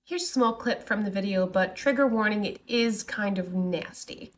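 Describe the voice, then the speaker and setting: A woman's voice: medium pitch, normal speed. A woman presenting conversational commentary, with wry asides and rhetorical questions.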